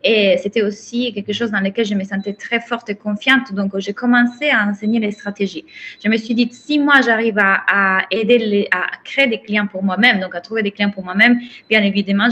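A woman from Italy with an Italian accent, a coach speaking slowly and haltingly in a casual interview, with many restarts. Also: medium pitch, steady tone, fairly bright tone, muffled recording. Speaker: business and life coach, guest.

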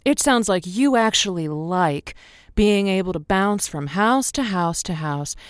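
Sassy, theatrical radio advice hostess played by an actress. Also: female voice, medium pitch, lively intonation, unhurried, clear, resonant tone, no room sound, studio quality, close to an everyday voice. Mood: scolding, exasperated. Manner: theatrical, sardonic, wordy. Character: sassy, blunt female radio advice show host. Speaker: call-in radio host character. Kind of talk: semi-improvised audio drama, radio host patter.

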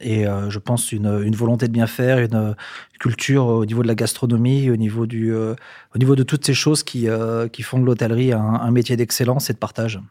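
A man's voice: mid-range voice, level tone, brisk, mellow, dry recording, clean studio sound. A man in his forties from southern France, a hotel manager answering interview questions with many fillers and restarts. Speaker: hotel general manager, guest.

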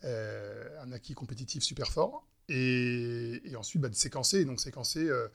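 A man in his thirties from France, a guest on a relaxed podcast. He speaks hesitantly, full of pauses, fillers and restarts. His voice sits at an ordinary middle pitch. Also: vocal fry. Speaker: entrepreneur guest. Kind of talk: casual podcast interview.

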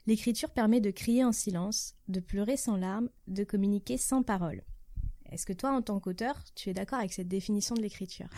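A woman's voice: mid-range voice, brisk, dark tone, no echo. A woman interviewer asking questions in a casual, conversational way. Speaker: podcast interviewer.

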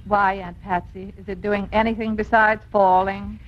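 A woman's voice: medium pitch, flat delivery, moderate pace, natural voice. Woman doing dry reserved young wife, fifties radio comedy, mid-range voice, muffled lo-fi audio. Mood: mopey, dry. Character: cool, dry, poised young divorcing wife. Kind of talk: 1950s radio comedy acting. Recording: dull, muffled 1950s radio recording.

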